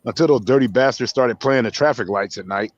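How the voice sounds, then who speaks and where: A man's voice: mid-range voice, a little fast, muffled recording. A man chatting casually on a live stream, joking crudely and laughing often. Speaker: stream co-host.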